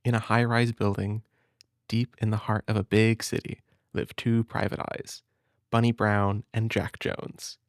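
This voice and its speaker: A man speaking gently and hesitantly in an intimate comfort roleplay, as a big brother reading a storybook. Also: on the low side, level tone, a little slow, no room sound, clean studio sound. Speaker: audio roleplay performer as a caring big brother.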